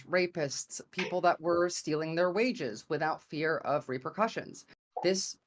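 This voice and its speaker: A woman with an American accent and a somewhat low voice speaks as a debate guest. She argues in a conversational style, pausing to search for the right word as she builds her point. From grew up in America. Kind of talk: argumentative debate opening statement.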